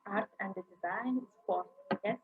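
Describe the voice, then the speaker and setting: A woman's voice: mid-range voice, slight background noise. Woman lecturer. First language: Kazakh. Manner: halting, searching for English words. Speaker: university lecturer. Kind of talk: online lecture in English. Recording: dull lo-fi sound.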